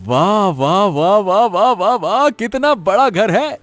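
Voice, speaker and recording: A man voices a greedy little cartoon jackal in a fable. He is excitable and high-pitched, exclaiming in repeated bursts, recorded dry with music underneath. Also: slow, no room sound, exaggerated voice. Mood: greedy delight. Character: excitable, greedy male jackal in donkey's body. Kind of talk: Hindi animated moral story acting.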